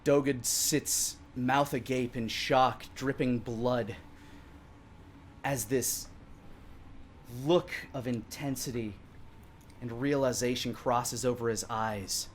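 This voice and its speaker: A man's voice: mid-range voice, steady tone, slow pace, long pauses, slight background noise. A man in his thirties running a tabletop game, narrating dramatically and theatrically as dungeon master. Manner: theatrical, with acted sound effects.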